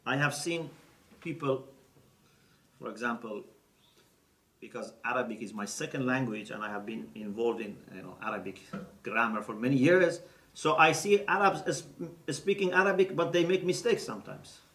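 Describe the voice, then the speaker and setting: A man's voice: mid-range voice, a bit bright. Male lecturer teaching carefully, keeps asking okay?, sounds far off and roomy. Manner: deliberate, explains step by step, checks in with "okay?". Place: lecture room. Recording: roomy echo, mic at a distance.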